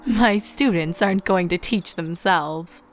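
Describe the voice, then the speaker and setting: A woman's voice: lowish voice, unhurried, faint music behind, lightly acted. Woman as a cheerful unicorn mare schoolteacher. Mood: wry, amused. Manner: hearty, friendly greetings.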